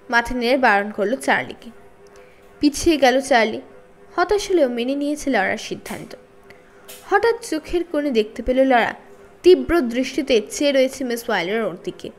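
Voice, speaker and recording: A woman reading a children's story aloud in an expressive, dramatized narrating style. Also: sits high. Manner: dramatized, acting out dialogue. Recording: faint music bed. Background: soft background music.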